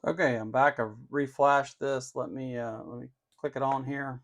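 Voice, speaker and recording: A man's voice: mid-range voice, steady tone, moderate pace. A man hosting an unscripted tech demonstration, explaining step by step in an opinionated way with emphasis on key words. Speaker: host of an electronics channel.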